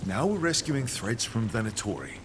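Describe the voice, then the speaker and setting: An actor playing an earnest, lightly wry adventurer in a video game, casual and full of questions. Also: male voice, mid-range voice, big pitch swings, moderate pace, slight background noise, lightly acted. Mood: curious, wry.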